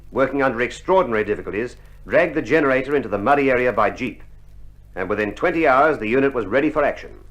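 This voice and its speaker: A man giving solemn, scripted war documentary narration in a formal, measured manner, in a 1960s style. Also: medium pitch.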